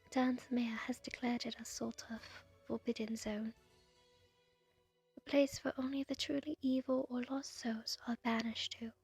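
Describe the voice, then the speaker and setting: A woman plays a gentle female spirit of light in an ASMR fantasy story. The voice is fairly high, soft and breathy, half-whispered and solemn. Words come slowly, with music playing behind. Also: flat delivery, dry recording. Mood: grave, wary. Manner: slow, measured, hushed delivery.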